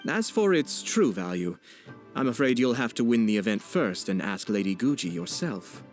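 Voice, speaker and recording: An actor playing a poised young nobleman in a video game, speaking in a formal, measured, politely wry way. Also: on the low side, sing-song. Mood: calm, courteous. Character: poised young nobleman, courteous, composed and subtly teasing. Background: background music.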